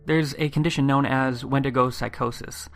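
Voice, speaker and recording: A man with a gravelly voice of medium pitch narrates as a podcast host. His scripted delivery is measured and suspenseful, made for eerie tales, with faint music behind. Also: fairly even intonation.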